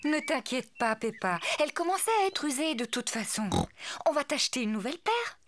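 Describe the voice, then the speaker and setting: A calm, reassuring mother pig, her voice bright and fairly high. She soothes her daughter gently, her pitch rising and falling with tenderness. A children's cartoon dub. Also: fairly quick. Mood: soothing.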